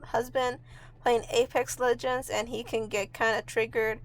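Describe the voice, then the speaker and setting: A woman's voice: a little high, level tone, a little slow, poor audio. A woman from Mexico, giving a casual, rambling voice-over in an offhand, self-deprecating, sweary way. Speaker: video artist and host. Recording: amateur home recording with music underneath. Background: music.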